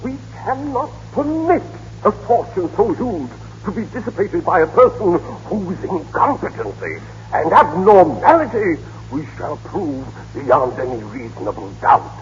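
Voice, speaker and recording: An actor playing an insistent, smooth prosecuting lawyer in an old radio drama, with formal, emphatic theatrical delivery. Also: male voice, sits high, sing-song, measured pace. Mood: pompous. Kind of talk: 1930s-40s radio drama acting. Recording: muffled, noisy old radio broadcast.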